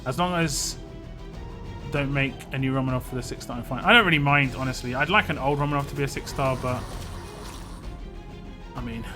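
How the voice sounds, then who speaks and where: A man talks loosely through a gaming live stream, rambling from topic to topic and answering his chat. His voice sits at an ordinary middle pitch, and game music plays clearly underneath. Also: steady tone, brisk, long pauses.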